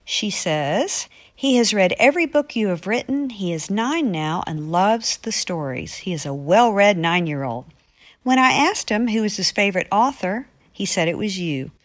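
A woman's voice: medium pitch, animated, moderate pace. A woman from the United States, an author reading and answering listener mail on a podcast in an earnest, effusive way. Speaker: author with a regular podcast segment.

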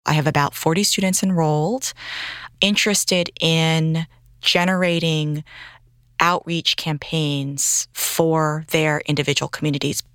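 A woman speaks as a professor explaining things in an interview. Her voice is low for a woman, and the recording is dry and close with no room sound. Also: steady tone, unhurried, fairly bright tone, clean tone, no room sound. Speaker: university professor.

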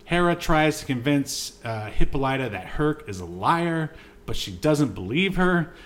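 A man co-hosting a podcast, casually recapping a movie scene by scene, haltingly reading from notes with joking asides. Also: on the high side, animated, fairly bright tone. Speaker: podcast co-host.

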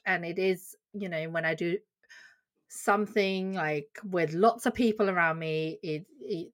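A woman's voice: on the low side, a bit bright. A woman from Sweden, a guest on a podcast, chatting casually about her business with fillers and frequent laughs. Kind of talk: casual interview chat.

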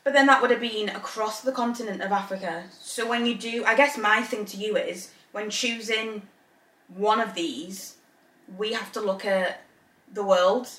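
A woman's voice: mid-range voice, fairly even intonation, moderate pace, a bit bright, far from the mic. A woman from Zambia co-hosting a podcast, thinking aloud with restarts and easy laughs. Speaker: podcast co-host. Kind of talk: casual chat. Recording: echoey room, voice a little off-mic.

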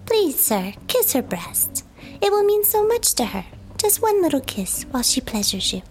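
A woman's voice: high-pitched, big pitch swings, moderate pace, faint music behind, rough recording. A woman playing an insistent, seductive go-between in a sci-fi comedy audio drama, coaxing and pleading. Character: insistent seductive female interpreter for a silent companion.

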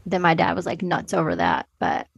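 A woman's voice: on the low side, sing-song, fairly quick, vocal fry, breathy edge, no room sound, studio quality. A woman co-hosting a podcast, discussing films casually in an informal, hedging, conversational way. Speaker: podcast co-host.

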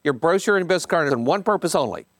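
Guy doing business coaching, kinda high voice, chatty, repeats stuff for emphasis, really dry sound. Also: expressive, very fast, a bit bright, no room sound. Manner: conversational, checks in with listeners, emphatic repetition. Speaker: business coach leading a group session. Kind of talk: informal coaching talk, commenting on book passages.

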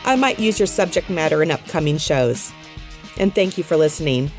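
A woman's voice: low-pitched, animated, normal speed, a little mellow, soft background music. A woman hosting a solo podcast, telling stories in a direct, conversational way from a script. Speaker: podcast host, recruiter.

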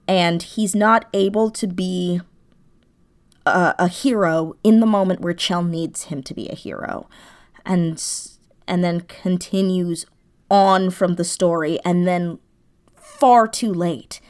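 Female writer as interview guest: slightly low voice, reflective and conversational, pausing to find the right phrasing. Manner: thoughtful, searching for words. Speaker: guest, audio drama writer. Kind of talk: casual interview, reflective explanation.

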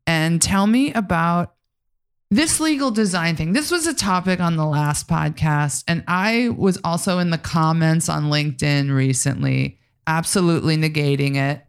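A woman's voice: low voice, moderate pace, smooth. A woman hosting a podcast in an informal, effusive, joking way, in casual conversation. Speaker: podcast host.

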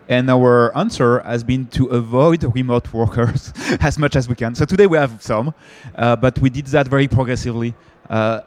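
A man's voice: medium pitch, darkish tone. A man with a French accent speaking on a conference panel, talking with frequent fillers and restarts. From France. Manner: hesitant, many fillers and restarts. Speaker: panelist, company founder.

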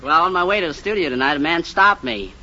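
A man's voice: on the high side, animated, quick pace, background noise. Hesitant man on muffled old radio. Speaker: quiz contestant, television repairman. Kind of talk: halting answers to a host. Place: radio studio before a live audience.

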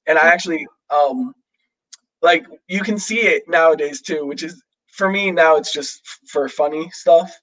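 A man's voice: a little high, normal speed, fairly bright tone, low-quality recording. Chatty young man, light voice. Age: young adult. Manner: rambling, many fillers and restarts. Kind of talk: casual chat.

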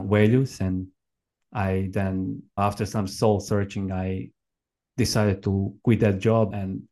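A man in his thirties from Croatia with a Croatian accent, telling his own story conversationally as an interview guest, in halting, reflective non-native English. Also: lowish voice, a little mellow. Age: 30s. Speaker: podcast guest, permaculture farmer and educator. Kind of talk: conversational personal account.

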